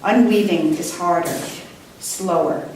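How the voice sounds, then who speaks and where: A woman poet reading her own work live at a book launch, with a measured, deliberate delivery and careful pauses. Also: on the low side, a little mellow. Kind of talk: live poetry reading with brief introductions. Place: indoor room before a live audience. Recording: distant mic, echoey room, faint noise.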